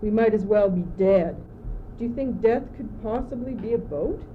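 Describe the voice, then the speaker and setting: A woman's voice: mid-range voice, normal speed, slight background noise, natural voice. A teenage girl playing a gloomy, confused, doubting young courtier in an amateur school radio play, plaintive and theatrical, on an old muffled recording. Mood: despairing. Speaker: student actor.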